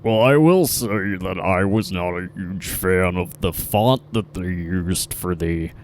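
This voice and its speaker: A man doing casual improvised comedy banter, talking in a halting, rambling way. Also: low-pitched, darkish tone, slight background noise. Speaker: improv podcast performer.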